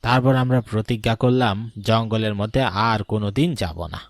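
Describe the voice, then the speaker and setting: A man's voice: lowish voice, normal speed, close to an everyday voice. A man playing a grave older village uncle who knows about spirits, speaking solemnly in a dramatic cartoon story. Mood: grave, warning.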